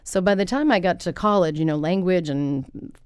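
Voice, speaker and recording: A middle-aged woman, an interpreter, telling her story as a guest in a relaxed podcast interview and laughing easily. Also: fairly low pitch, moderate pace, clear, resonant tone, no echo, clean studio sound. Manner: lively storyteller, laughs often. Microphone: studio microphone.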